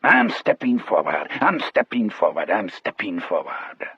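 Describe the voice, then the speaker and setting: A man voicing a giddy, mischievous old prison trusty in an audiobook, chanting in singsong with a softened French-Canadian accent. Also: sits low, huge pitch swings, a little slow, slightly rough, dry recording, dull audio, heavily acted. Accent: French-Canadian softened by the American South.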